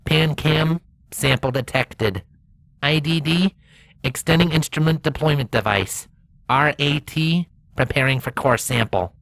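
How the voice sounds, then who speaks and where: A woman's voice: sits low, unhurried, vocal fry, no room sound, pitched above the performer's own voice, exaggerated voice, rough recording. A woman voicing a small, literal-minded robot rover that speaks in clipped, procedural log phrases. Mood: flat, methodical.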